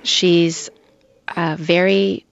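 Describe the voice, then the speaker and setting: A woman giving a thoughtful, reflective account of someone in a measured way. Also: lowish voice, little pitch movement, creaky. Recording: dull, muffled sound with faint music.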